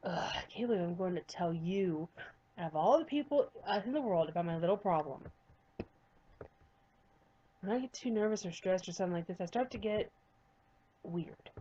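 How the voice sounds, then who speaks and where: A woman's voice: lowish voice, expressive, dark tone, dull audio, a little hiss or hum, close to an everyday voice. Woman doing a burned-out, mumbling male developer. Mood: weary. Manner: subdued, halting, stammering.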